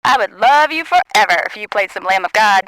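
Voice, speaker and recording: A woman's voice: mid-range voice, lively intonation, brisk, fairly bright tone, no room sound, close to an everyday voice, rough recording. An actress playing a woman who phones in to a metal radio station. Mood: flirty. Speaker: radio caller. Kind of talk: radio call-in, acted.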